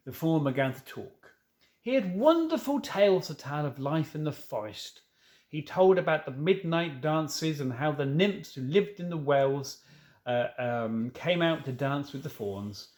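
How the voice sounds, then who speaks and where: A man reading a children's novel aloud, stumbling now and then and correcting himself, with informal asides. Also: fairly high pitch. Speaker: storybook reader.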